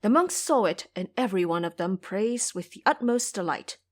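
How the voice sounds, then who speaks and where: A woman narrates an audio drama at a middle pitch. Her delivery is scripted storytelling, shaped dramatically for a fantasy tale. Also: lively intonation, normal speed.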